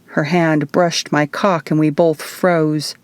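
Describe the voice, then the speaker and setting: A woman's voice: low voice, flat delivery, takes it slowly, clean tone, no echo. A woman reading scripted first-person fiction as an audiobook narrator. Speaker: author reading own audiobook.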